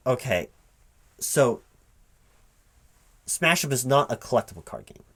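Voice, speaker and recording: Male, mid-pitched, for spoken ASMR roleplay as a recruiting manager; improvised, hesitant, confused questioning. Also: big pitch swings, breathy edge. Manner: halting, puzzled, repeating things back as questions. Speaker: performer playing a recruiting manager interviewing a job applicant.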